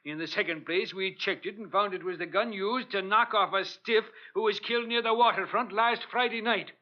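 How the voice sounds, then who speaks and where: An actor playing a gruff, sarcastic, suspicious police lieutenant with slangy, wisecracking talk in an old-time radio detective drama. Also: male voice, high voice, moderate pace, close to an everyday voice. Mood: gruff, blunt. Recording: dull old radio recording.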